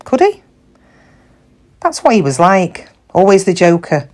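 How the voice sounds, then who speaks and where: Wistful middle-aged shop cashier played by an actress, confiding. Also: female voice, fairly low pitch, big pitch swings, unhurried, darkish tone. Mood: hurt, reflective. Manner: reflective, confiding, plain-spoken. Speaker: actor in a monologue. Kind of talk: first-person dramatic monologue.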